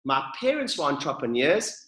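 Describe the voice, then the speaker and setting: A man's voice: fairly high pitch, big pitch swings, moderate pace, fairly bright tone, echoey room. A man with a South African accent, talking as an enthusiastic, upbeat podcast guest. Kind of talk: casual interview.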